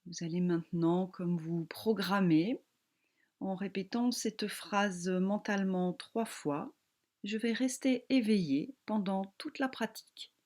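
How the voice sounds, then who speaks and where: A woman yoga teacher guiding a relaxation meditation in a calm, gentle, soothing manner with long pauses. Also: mid-range voice.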